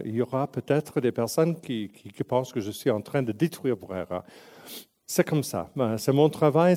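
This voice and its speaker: A man's voice: medium pitch, mellow. A man from English-speaking Canada with an English Canadian accent tinged by Italian, giving a public lecture as a museum director and often searching for words and restarting. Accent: English Canadian, with Italian influence.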